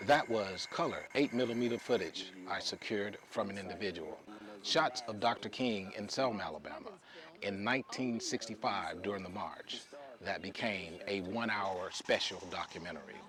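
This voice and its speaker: A man's voice: lowish voice, normal speed, a crowd in the background. A man giving a scripted promotional narration as a videographer introducing his own work. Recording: noisy background.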